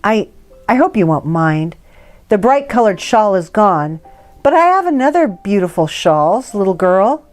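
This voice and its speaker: A woman's voice: medium pitch, sing-song, measured pace, darkish tone, soft background music. A woman voicing a kindly, tender male trading-post keeper in a storybook read-aloud. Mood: apologetic.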